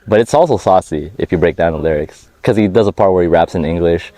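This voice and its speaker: A man's voice: sits low, fast, mellow, poor audio. A man giving a casual interview about his music, talking in a laid-back slangy way and laughing often. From United States. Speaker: rapper being interviewed. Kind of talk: casual interview chat on a hike. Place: outdoors on a hike.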